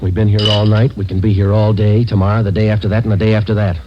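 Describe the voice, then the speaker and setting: Through a hissy, muffled old radio recording comes an actor as a relentless police sergeant. He speaks low and fast in clipped, deadpan phrases, in the matter-of-fact style of vintage crime drama. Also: natural voice. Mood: pressing, relentless. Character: terse, relentless male police detective sergeant. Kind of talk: 1940s-50s radio police drama acting.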